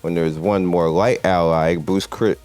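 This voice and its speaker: A man's voice: low-pitched, steady tone, a little slow, darkish tone, clear, resonant tone. A man giving casual, rambling video game commentary in a conversational way. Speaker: gaming video host. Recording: game music playing behind the voice. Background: video game music.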